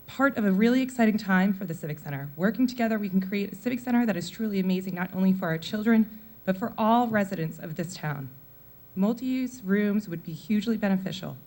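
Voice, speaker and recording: A woman in her forties, a mother of four, reads a prepared comment at a town meeting. Her voice sits in a middle range, and she runs briskly through lists from her notes. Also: medium pitch, a little mellow. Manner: brisk, reading from notes with list-like delivery. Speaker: public commenter, parent. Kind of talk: prepared public comment speech.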